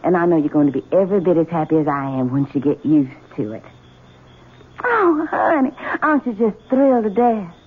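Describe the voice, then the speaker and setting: A coquettish Southern belle. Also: female voice, on the low side, big pitch swings, a little fast. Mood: teasing. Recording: narrow-band old radio broadcast with faint hiss.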